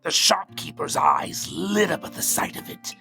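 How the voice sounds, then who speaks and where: A man playing a sly, folksy Old West storyteller with a gravelly voice and American accent, narrating a western audio drama theatrically. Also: sits high, wildly swooping pitch, measured pace, sharp tone, exaggerated voice. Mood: ominous storytelling. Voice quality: gravelly, creaky. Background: music.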